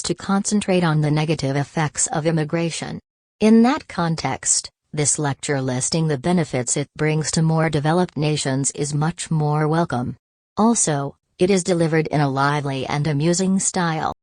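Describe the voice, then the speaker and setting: A woman's voice: low-pitched, normal speed, a little mellow, dry recording, studio quality. A woman reading exam practice passages aloud in a scripted style. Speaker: reader of test practice texts.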